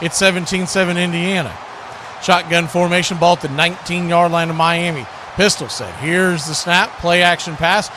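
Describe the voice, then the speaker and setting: An American man giving live football play-by-play in short clipped phrases that build with excitement. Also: high-pitched, normal speed, noisy background. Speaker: play-by-play announcer.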